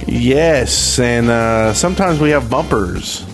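A man's voice: medium pitch, expressive, slow pace, over music. A man co-hosting a gaming podcast in casual, chatty banter. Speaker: podcast co-host.

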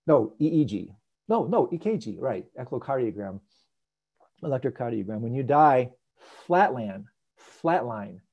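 A man hosts an online group call, talking casually with plenty of asides and jokes. His voice has a mellow, warm color. The audio comes through a dull, lo-fi video call connection. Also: mid-range voice, unhurried, husky. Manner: animated, jokey, digressive. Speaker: host and meditation teacher. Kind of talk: casual teaching talk and Q&A.

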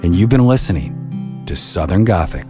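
A man's voice: low voice, sing-song, normal speed, over music. A man hosting a podcast, reading scripted storytelling narration.

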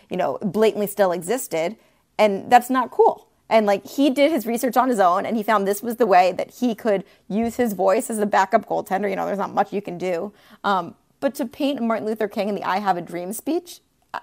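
A woman co-hosting a sports podcast, chatty and expressive, with playful asides. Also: mid-range voice. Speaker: podcast co-host. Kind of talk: casual sports talk.

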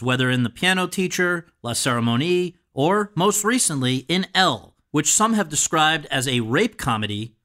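A man's voice: fairly high pitch, pushed and bright. A man hosting an interview podcast, speaking in prepared introductions and questions.

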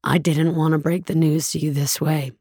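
An actress playing a numb, grieving woman narrating the end of her world in a somber, measured, husky voice for an audio drama. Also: low voice, fairly even intonation, warm tone, dry recording, studio quality. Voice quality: husky, gravelly. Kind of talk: dramatic first-person audio fiction narration.

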